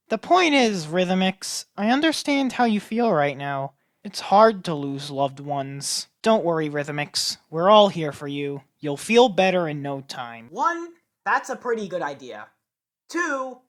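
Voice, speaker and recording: Woman reading lines with very lively intonation. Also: mid-range voice. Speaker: amateur animator recording voice lines. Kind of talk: scripted lines read aloud.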